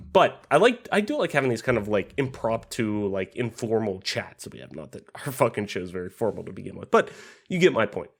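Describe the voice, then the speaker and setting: A man hosting a gaming podcast in casual chat, his voice slightly raspy from a cold, talking in a loose, rambling way with plenty of fillers and swearing. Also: on the low side, big pitch swings, a little fast. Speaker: podcast host.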